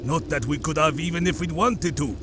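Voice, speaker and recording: A man's voice: on the high side, fairly quick, lightly acted. A man playing a devoted, humble Norse villager in a comic book audio drama, on a noisy home recording. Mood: humble, reverent.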